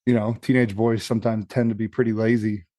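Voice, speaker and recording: A man's voice: fairly low pitch, level tone, fast talker, mellow, no echo. A man co-hosting a podcast, talking casually and searching for his words as he reasons. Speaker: podcast co-host. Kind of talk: casual chat.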